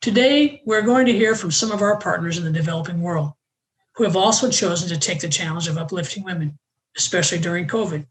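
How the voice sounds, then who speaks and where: A woman hosting an online panel, giving a measured, scripted welcome and introduction. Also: fairly low pitch, low-quality recording. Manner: measured, reading from a prepared script. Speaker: host.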